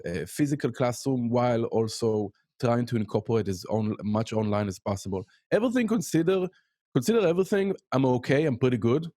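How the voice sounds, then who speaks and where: A middle-aged Israeli-accented man chats casually as a podcast guest. He speaks in halting bursts with many fillers, and his pitch jumps up often. The recording is dry and close. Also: animated, warm tone, no echo. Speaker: podcast guest, music educator. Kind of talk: casual interview chat.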